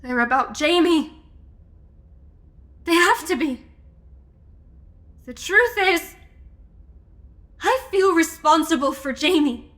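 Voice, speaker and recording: An actress playing an earnest, determined amateur investigator in an audio drama, deliberate and intense. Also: female voice, extremely high pitch, animated, a bit bright, heavily acted. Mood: guilty, anguished. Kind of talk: supernatural comedy audio drama acting.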